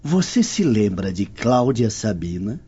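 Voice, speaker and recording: A man's voice: fairly low pitch, very animated, unhurried, mellow, slightly rough, soft background music, exaggerated voice. A man acts out a Roman nobleman in a radio drama, a loyal and careful friend. His formal, deferential speech rises and falls expressively on a slightly dull recording. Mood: secretive. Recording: dull lo-fi audio.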